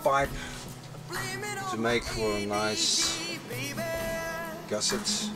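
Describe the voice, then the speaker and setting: A young man casually explaining his boat build as he works under a tent outdoors. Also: fairly low pitch. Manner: relaxed, unhurried explaining while working. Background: music.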